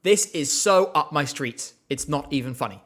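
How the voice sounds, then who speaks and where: A man's voice: fairly high pitch, lively intonation, normal speed. A man hosting a casual live stream, chatting in a rambling way with lots of fillers and a slight cough. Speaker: livestream host.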